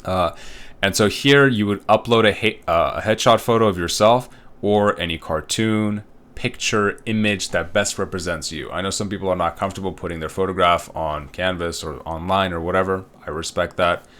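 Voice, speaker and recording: A man's voice: sits low, moderate pace, a bit bright. A man in his thirties, a college instructor from Uzbekistan, walking students through an online course in a casual, rambling way, full of fillers and checking-in tags. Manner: casual, rambling, with many fillers and tag questions. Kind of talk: recorded online course walkthrough.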